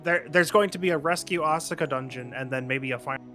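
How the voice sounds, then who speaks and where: A man's voice: a little high, quick pace, pushed and bright. A man giving casual, wry commentary over a video game, jumping in with asides as he banters. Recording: game music under the voice. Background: video game music.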